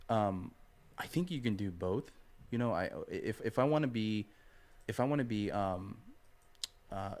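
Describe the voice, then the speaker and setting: A man speaking as a guest artist in a casual podcast interview, thoughtful and hesitant, repeating phrases and checking in with "right" and "you know". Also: lowish voice. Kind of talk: casual podcast interview, explaining art process.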